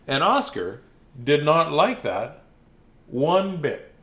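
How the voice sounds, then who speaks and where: A man reading a children's bedtime story theatrically, with dramatic pauses and playful asides. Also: on the high side, very animated, a bit bright.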